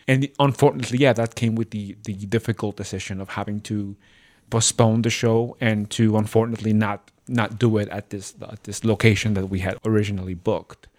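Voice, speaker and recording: A man with a slightly low voice speaks in a podcast discussion. He is measured and careful with his wording, speaking as a theater company member.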